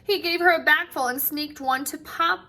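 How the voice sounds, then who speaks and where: Lady teacher reading a kids book out loud, highish bright voice, super expressive, draws out line endings. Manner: animated, dramatic pauses, drawn-out phrase endings. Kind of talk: expressive picture-book read-aloud for children.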